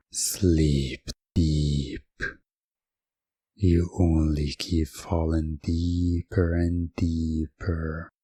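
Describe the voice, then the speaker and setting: A man narrating a scripted hypnosis story in the second person, in a deliberate, soothing, commanding ASMR manner, close to the microphone. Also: deep voice, dark tone, husky, no room sound.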